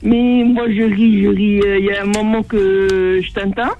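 A woman's voice: mid-range voice, level tone, unhurried, dark tone. A woman calling in to a radio show, giggly and cheerful. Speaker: radio caller. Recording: tinny phone line with music.

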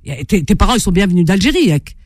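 A woman's voice: medium pitch, very animated, very fast, a little mellow, dry recording, studio quality. A woman hosting a call-in radio debate, arguing insistently and cutting in on her caller. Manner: argumentative, interrupting, insistent. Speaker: radio host.

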